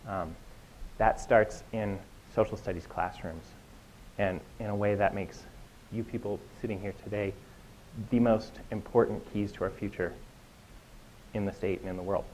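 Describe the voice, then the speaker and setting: A man giving an earnest closing to a conference talk, pausing with fillers. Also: on the low side, fairly even intonation, a little mellow, a little hiss or hum. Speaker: conference speaker. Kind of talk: closing a speech. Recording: dull lo-fi room recording.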